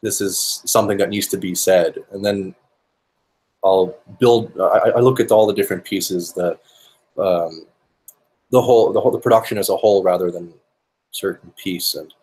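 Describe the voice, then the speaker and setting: A young man with a fairly low voice, raised speaking both Finnish and English, talks through his answers in an interview. He pauses often and restarts his sentences as he thinks aloud. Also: steady tone, a little mellow, husky, low-quality recording. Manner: thoughtful, with many fillers and restarts. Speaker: musician guest.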